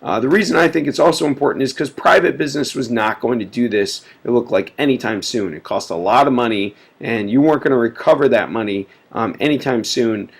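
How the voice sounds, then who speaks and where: A man's voice: medium pitch, fairly quick, vocal fry, hardly any pauses, slightly rough. A man giving a casual recorded history lecture, full of filler words, sick and sniffly. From United States. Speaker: teacher.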